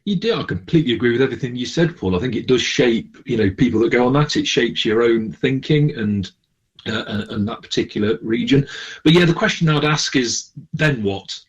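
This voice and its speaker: A man speaking as a radio interview guest, explaining things in a halting, conversational way. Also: mid-range voice, lively intonation, breathy edge, muffled recording. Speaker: interview guest, charity manager.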